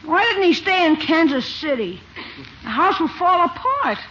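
A woman's voice: extremely high pitch, sing-song, moderate pace, fairly bright tone, exaggerated voice. A woman voicing a brash, wisecracking young nephew in a radio comedy. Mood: complaining. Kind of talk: 1950s radio situation comedy acting. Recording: old muffled radio recording, faint hiss.

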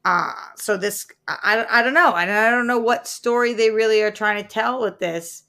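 A young adult woman co-hosting a casual pop-culture podcast, chatty and halting, often breaking off mid-sentence. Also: a little high, bright, forceful tone. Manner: chatty, halting, many fillers and restarts. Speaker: podcast co-host.

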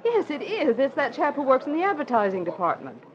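An actress playing a sharp, scornful newspaper reporter with a crisp manner, in a 1940s radio drama. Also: female voice, high-pitched, sing-song, fairly quick. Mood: alert. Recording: muffled old radio recording, crowd behind.

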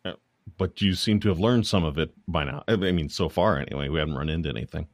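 An American man hosting a casual comedy interview, feeling his way haltingly through rambling questions. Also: a bass voice, creaky, no room sound, studio quality. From United States. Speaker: show host, interviewer.

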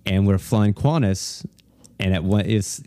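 A man's voice: on the low side, measured pace, warm tone, no room sound, clean studio sound. A man, a comedian guest on a podcast, telling long rambling stories full of restarts in casual chat. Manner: rambling storyteller, many restarts.

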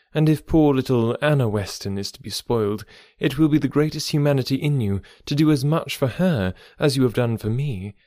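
A man's voice: medium pitch, dark tone, no room sound, clean studio sound, natural voice. Emma, a man voicing a witty young woman. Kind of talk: audiobook dialogue reading.

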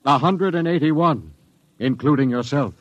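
An actor playing a grim, bitter spaceship captain in a 1950s radio drama, speaking in a solemn, measured way. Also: male voice, a little high, lively intonation. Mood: bitter, sarcastic. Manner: solemn, measured, sarcastic when angered. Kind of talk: 1950s radio drama acting, first-person narration. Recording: dull old radio transcription.

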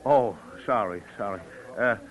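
Meek, earnest working man male actor, slightly deep, halting and apologetic, vintage radio drama. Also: natural voice. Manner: hesitant, mild, apologetic. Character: meek, absent-minded, earnest miner, the shy partner. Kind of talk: 1940s radio acting.

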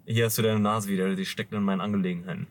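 A man's voice: lowish voice, level tone, racing. Young man teasing on a podcast. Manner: teasing, swears freely. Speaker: podcast host. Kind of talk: casual podcast chat. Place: parked car.

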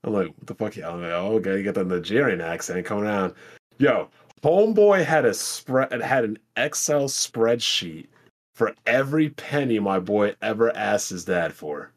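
Animated, profane man on a podcast. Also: fairly low pitch, moderate pace. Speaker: podcast host. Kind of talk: casual podcast banter with profanity and storytelling.

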